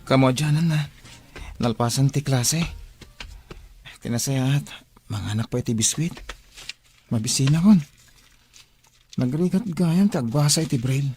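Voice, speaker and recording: An actor playing a young man newly arrived at a school for the blind in a radio drama, with a husky voice. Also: medium pitch, measured pace, long pauses, a little mellow, slight background noise, close to an everyday voice. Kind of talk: Ilocano radio drama acting.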